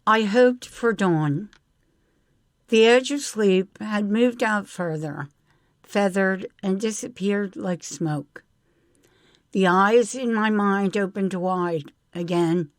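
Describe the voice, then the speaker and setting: Unhurried woman reading a short story. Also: mid-range voice, dry recording, clean studio sound. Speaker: writer reading own story.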